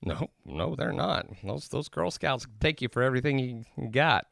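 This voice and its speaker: Gravelly, dry-humored man. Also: medium pitch, expressive, normal speed, fairly bright tone. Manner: dry, joking. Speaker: co-host. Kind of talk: casual chat.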